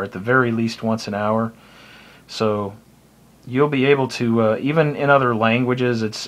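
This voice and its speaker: A man's voice: mid-range voice, fairly bright tone. An American man chatting casually and unscripted as he explains his hobby, in a rambling, plain-spoken and opinionated way. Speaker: shortwave radio hobbyist giving tips.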